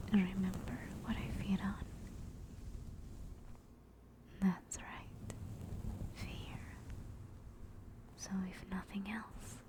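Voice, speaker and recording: Woman as a softly whispering, caring demon. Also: mid-range voice, close to an everyday voice. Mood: reassuring. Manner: slow, soothing, reassuring. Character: gentle, caring female sleep paralysis demon. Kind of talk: whispered ASMR comfort roleplay.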